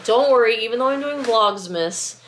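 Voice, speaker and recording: A woman's voice: fairly high pitch, animated, moderate pace, fairly bright tone, a little hiss or hum. A woman chatting casually while she packs skincare orders, sniffly and congested from a lingering cold, rambling and often cutting herself off to apologise. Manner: rambling, self-interrupting, apologetic. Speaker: small-business skincare maker. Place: at home, indoors, packing table. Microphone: camera set close by.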